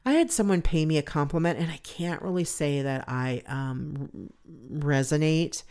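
A middle-aged woman with a deep, resonant voice hosting her own podcast, rambling in a chatty, self-deprecating way. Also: moderate pace, a little mellow, smooth. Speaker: podcast host. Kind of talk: casual solo podcast talk.

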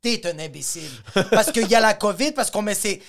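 A man's voice: high voice, animated, a little fast, gravelly, a bit bright. A young man hosting a casual podcast chat, opinionated and slangy, with English mixed in.

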